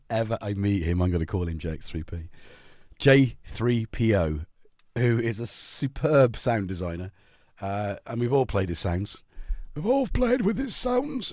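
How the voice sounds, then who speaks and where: Man, North Welsh accent, middle-aged, livestream host, casual and jokey, animated pitch, dry sound. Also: dry recording. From North Wales. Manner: chatty and jokey, greets viewers by name. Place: home.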